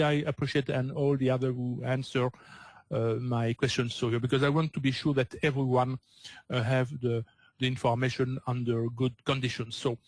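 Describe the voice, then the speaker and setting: A man's voice: mid-range voice, no echo. Older belgian guy, french accent, lots of uhs, dry close mic on a webinar. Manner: hesitant, frequent uh fillers, non-native phrasing. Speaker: trading coach presenting a webinar. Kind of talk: webinar presentation.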